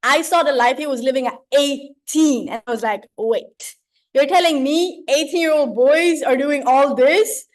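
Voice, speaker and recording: Young woman, early twenties, high-pitched voice, online mentor, informal motivational talk, chatty, slangy, animated. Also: unhurried. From Sweden. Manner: chatty, animated, slangy, checks in with "right?" and "you know?". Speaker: mentor leading an online training. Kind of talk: informal motivational talk to a group on a video call. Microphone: video call.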